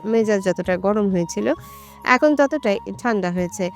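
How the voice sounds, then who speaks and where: A woman's voice: mid-range voice, expressive, moderate pace. Woman narrating a romantic story over music.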